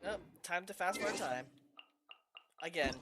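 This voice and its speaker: A woman's voice: fairly low pitch, expressive, unhurried, background noise. A woman streaming a video game and making casual, wry asides to her viewers. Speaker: gameplay streamer. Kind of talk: casual commentary over gameplay. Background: game music.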